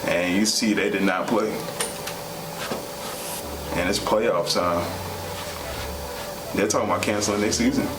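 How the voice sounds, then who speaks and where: A man's voice: deep voice, steady tone, measured pace, long pauses, background noise. A man co-hosting a vlog, giving candid, conversational commentary in an earnest, plain-spoken way. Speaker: vlog co-host. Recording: roomy echo, music underneath.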